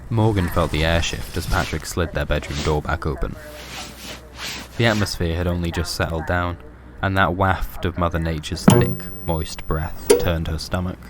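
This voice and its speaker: A man's voice: low-pitched, fairly even intonation, moderate pace, heavy background noise. A man reading a scripted, surreal horror story as its narrator.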